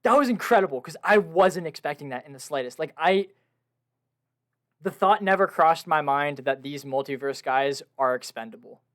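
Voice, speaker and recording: A man's voice: on the high side, animated, normal speed, a bit bright. A young man co-hosting a casual podcast, talking about a film with eager, gushing enthusiasm and frequent laughs. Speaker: podcast co-host. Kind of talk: casual film review chat. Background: washing machine at home.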